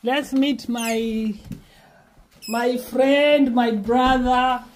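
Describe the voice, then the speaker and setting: A woman's voice: fairly high pitch, fairly even intonation, drawn-out words, a little hiss or hum. Kenyan-born woman vlogging from home. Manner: warm, pleading, repeats words often. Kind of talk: casual vlog chat to camera. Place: small home, indoors. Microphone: phone camera held at arm's length.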